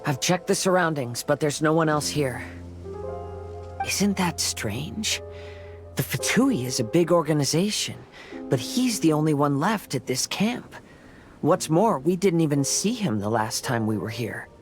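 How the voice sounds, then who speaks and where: An actor playing a calm, observant young swordsman in a video game, measured and courteous. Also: on the high side, over music. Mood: thoughtful. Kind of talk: video game cutscene acting.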